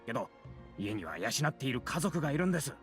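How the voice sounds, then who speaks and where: A man acts out a weary working family man from a fantasy video game. He complains earnestly at a very rapid pace, with a lively pitch, and music plays clearly behind him. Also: close to an everyday voice. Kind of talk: Japanese video game voice acting.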